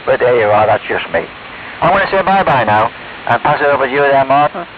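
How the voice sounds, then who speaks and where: A man's voice: mid-range voice, animated, fairly quick, dull audio. An older man, chatting casually and at length on an amateur radio net, rambling and self-deprecating. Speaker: ham radio operator. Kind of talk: casual on-air radio chat. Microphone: radio transceiver mic heard over the air. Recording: noisy, band-limited shortwave radio hiss.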